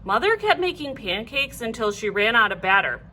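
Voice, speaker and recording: A woman reading a children's storybook aloud like a teacher, in an animated, clearly phrased way. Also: fairly high pitch, moderate pace, a little hiss or hum.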